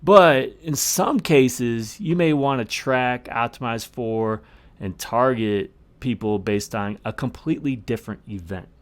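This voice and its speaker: A man's voice: medium pitch, unhurried. A man hosting a podcast, explaining tips in a scripted monologue with emphatic, short punchy phrases. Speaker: podcast host.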